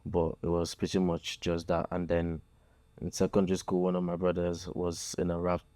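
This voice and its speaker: Deep-voiced soft-spoken Nigerian-born man. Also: completely flat delivery, normal speed, dry recording. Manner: soft-spoken, hesitant, many fillers. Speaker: guest musician. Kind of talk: casual interview talk.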